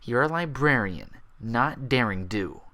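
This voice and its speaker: A man's voice: medium pitch, animated, a little slow, bright, forceful tone. A man performs a young female pony from a fantasy story. She is a bookish, proud librarian who is anxious and hard on herself. Her speech is careful and a little formal. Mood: bitter self-reproach. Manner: hesitant, formal politeness or muttered self-reproach.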